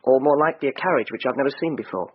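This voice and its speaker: An actor playing an earnest, pedantic railway enthusiast in a radio drama, a man with a breathy voice and a slightly anxious manner. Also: mid-range voice, racing, lo-fi sound, slight background noise, natural voice. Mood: defensive, precise. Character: fussy, literal-minded, earnest male railway enthusiast.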